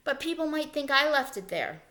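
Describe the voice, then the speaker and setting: A woman's voice: high-pitched, big pitch swings, moderate pace, bright, forceful tone, pitched above the performer's own voice, heavily acted. A woman reading aloud the part of a shrewd, wary young boy at camp in a children's storybook, questioning and laying out deals. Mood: doubtful, curious. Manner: questioning, deal-making.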